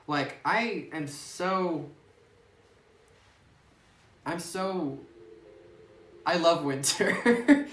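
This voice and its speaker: A woman casually chatting and giggling as she plays through a game, reading its text aloud, recorded on a phone. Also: deep voice. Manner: chatty, giggly, muses aloud while playing. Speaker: gaming YouTuber. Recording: roomy phone recording with faint hiss.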